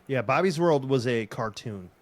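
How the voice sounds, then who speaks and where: A man's voice: mid-range voice, lively intonation. A man with a slight Minnesotan accent co-hosting a podcast, chatting easily with lots of fillers. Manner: easygoing, filler-heavy, does quick voice impressions. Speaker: podcast co-host. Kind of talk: casual chat.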